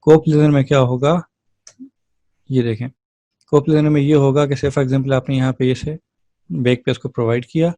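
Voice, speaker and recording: Male lecturer, mellow soft-edged tone, dry close sound, informal step-by-step explaining. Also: mid-range voice, fairly even intonation, normal speed, pauses often, no echo. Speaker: physiotherapy lecturer.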